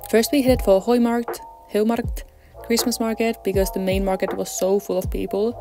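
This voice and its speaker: A woman's voice: mid-range voice, little pitch movement, moderate pace, dark tone. A young adult woman vlogger giving a casual voice-over narration of her day out. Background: background music.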